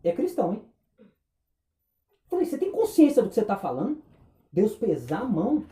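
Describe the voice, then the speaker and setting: A man preaching a sermon, impassioned, firing rhetorical questions and dropping between loud and hushed. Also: sits high, huge pitch swings, fairly quick, roomy sound. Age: 20s.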